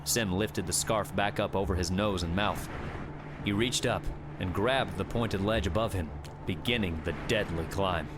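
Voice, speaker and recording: Clear background music runs under a man's low voice. He narrates a scripted adventure story in a dramatic audiobook style. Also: moderate pace.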